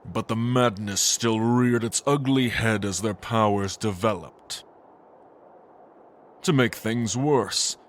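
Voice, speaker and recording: A man's voice: on the low side, a little hiss or hum, lightly acted. A man playing an ancient, arrogant angel lord, a gloating villain who speaks with grandiose contempt in a fantasy audio drama.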